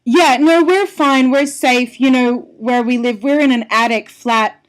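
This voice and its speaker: A woman's voice: high-pitched, a little slow, rough recording. A woman from Australia, a singer-songwriter, talking in a friendly, chatty way as a guest on a phone-in radio interview. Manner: friendly, chatty, laughs often. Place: home in London. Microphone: telephone.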